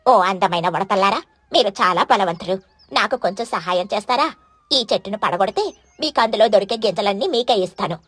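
A woman's voice: medium pitch, animated, brisk, a bit bright, no room sound, pitched below the performer's own voice. A woman voicing a lazy, stingy mother crow in a children's story, with a complaining, wheedling manner. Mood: fake humble.

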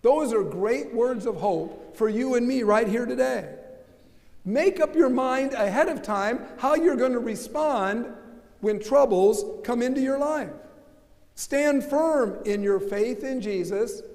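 A man's voice: extremely high pitch, expressive, slow pace, a little mellow. An older American man preaching a sermon from the pulpit, emphatic and asking rhetorical questions. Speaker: pastor. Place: large church sanctuary. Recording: long church reverb.

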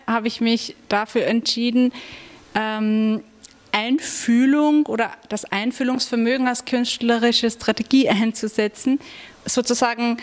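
A woman in her thirties, an artist speaking thoughtfully into a handheld mic about her work, pausing to search for words. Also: on the high side. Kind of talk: talking about her artwork. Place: gallery room. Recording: long gallery reverb.

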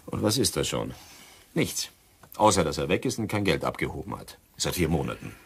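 An actor playing a bookish doctor who muses hesitantly as an amateur sleuth in a German crime radio drama. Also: male voice, a bass voice, very animated, fairly quick, vocal fry, husky, full character voice. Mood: dry. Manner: hesitant, musing, storytelling.

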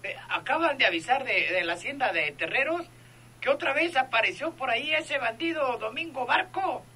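A man's voice: very high-pitched, animated, normal speed, bright, forceful tone, husky, exaggerated voice. A man playing an officious, commanding town mayor on a call in an old radio drama. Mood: urgent, commanding. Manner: hesitant openers, officious.

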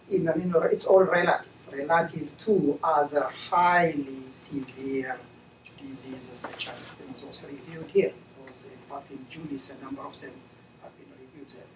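A middle-aged man from Ethiopia, a veterinarian, giving measured, hesitant comments as a discussant at an academic conference. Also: on the high side, far from the mic. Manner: measured, hesitant, many fillers. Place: lecture room. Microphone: distant camera mic. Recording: echoey room recorded from afar, noisy.

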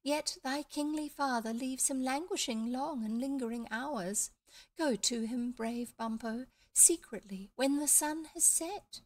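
A woman doing a sneaky parrot playing fairy queen. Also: unhurried. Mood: sly, grand. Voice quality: small high girlish voice. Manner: mock-archaic, grandly solemn. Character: sly female parrot faking a fairy queen. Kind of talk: reading a storybook aloud.

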